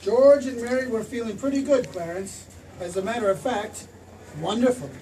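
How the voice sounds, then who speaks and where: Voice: medium pitch, moderate pace, close to an everyday voice. An actor playing a patient heavenly narrator, telling a story in scripted radio drama style. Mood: storytelling. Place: theater stage. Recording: distant stage pickup with clear noise.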